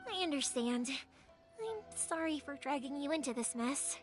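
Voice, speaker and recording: A woman's voice: high-pitched, expressive, moderate pace. A woman playing a kind-hearted, cheerful young fireworks maker in a video game, speaking earnestly and expressively. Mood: concerned. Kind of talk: video game character acting. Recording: faint music behind the voice.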